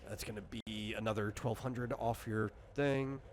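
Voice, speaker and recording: A man's voice: lowish voice, steady tone, normal speed. A man acting as game master, talking casually and explaining options in a hesitant way during a tabletop character-creation session.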